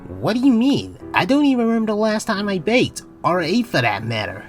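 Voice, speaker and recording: A woman's voice: medium pitch, wildly swooping pitch, a little fast, soft background music. A woman playing an anxious but earnest teenage boy space captain in a comic dub. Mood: reflective.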